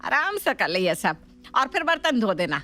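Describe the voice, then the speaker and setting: A woman's voice: a little high, big pitch swings, moderate pace, pushed and bright, faint music behind. A woman voicing a shrewd older mother-in-law in a family story, scolding and mock-sweet. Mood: mock-sweet, commanding.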